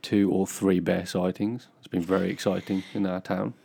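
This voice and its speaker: A man's voice: sits low, flat delivery, normal speed, vocal fry, mellow, slightly rough. A young British man in casual chat as a podcast co-host, easygoing with wry, dry understatement.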